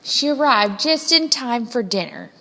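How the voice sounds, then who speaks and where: Animated woman storyteller in a reverberant hall. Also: a little high, slight background noise. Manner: dramatic, deliberate storytelling. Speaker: storybook reader. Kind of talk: scripted children's story narration, read aloud expressively.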